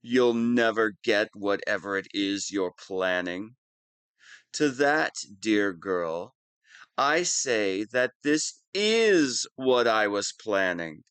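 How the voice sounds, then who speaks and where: Pompous, whiny wannabe supervillain, slow-paced. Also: male voice, on the low side, expressive, fairly bright tone, smooth, no echo. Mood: gloating. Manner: pompous monologuing that slips into whining. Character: whiny, theatrical wannabe supervillain, smug but petulant. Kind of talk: scripted audio roleplay monologue.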